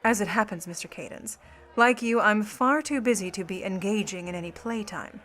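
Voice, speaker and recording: An actress playing a poised, mischievous 1950s starlet with a secret sideline in burglary, cool, teasing and formal in old radio play style. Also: female voice, mid-range voice, normal speed, fairly bright tone, soft background music, lightly acted. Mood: arch, dismissive.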